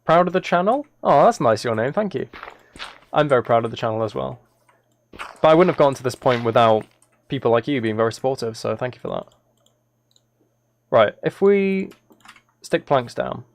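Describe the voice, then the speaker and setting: Male game streamer, gravelly creak, mid-pitched, casual and thinking aloud. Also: no room sound. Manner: thinking aloud, self-correcting. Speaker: live streamer. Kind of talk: casual gaming livestream commentary, chatting with viewers.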